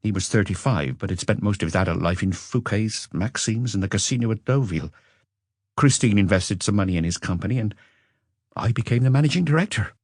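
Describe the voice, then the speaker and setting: A man reading as a husky-voiced, regretful Frenchman. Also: on the low side, lively intonation, fairly quick, no room sound, clean studio sound, voice pushed up. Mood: candid, resigned. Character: educated Frenchman looking back with regret on a passionate marriage. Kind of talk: audiobook character dialogue.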